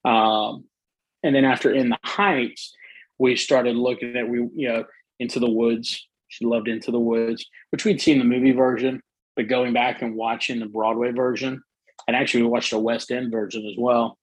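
Soothing husky-voiced man, dry close mic. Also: mid-range voice, little pitch movement, normal speed, no room sound, low-quality recording. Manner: enthusiastic, many fillers and restarts. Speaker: guest. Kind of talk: casual interview chat.

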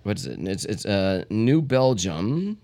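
Middle-aged man, casual podcast host, rambling, crude jokes, lively up-and-down pitch. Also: a little slow, vocal fry. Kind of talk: casual beer-tasting chat.

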